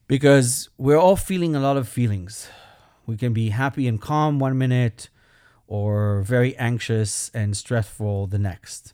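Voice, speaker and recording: A man from Belgium with a Belgian accent, a rabbi hosting his show and talking earnestly and personally, with gentle humor. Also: fairly low pitch, clear, resonant tone, no room sound, studio quality. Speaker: rabbi and podcast host. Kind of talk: reflective solo talk.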